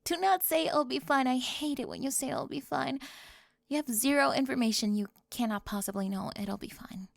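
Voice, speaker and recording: A young woman voicing a sharp-tongued cheerleader bully for an ASMR roleplay, sarcastic and defensive, often dropping to a whisper close to the mic. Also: sits high, normal speed, vocal fry, no echo. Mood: snippy.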